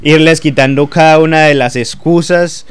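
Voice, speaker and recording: A man's voice: fairly high pitch, steady tone, normal speed, clean tone. A young adult man from Colombia with a Colombian Spanish accent, talking as a sports pundit in a casual panel, hesitant with many fillers.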